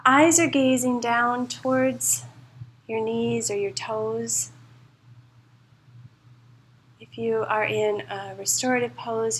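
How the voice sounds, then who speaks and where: A woman leading a guided meditation as a teacher, speaking in a soothing, unhurried and reassuring way. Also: a little high, slight background noise, rough recording. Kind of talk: guided meditation, spoken live to a class.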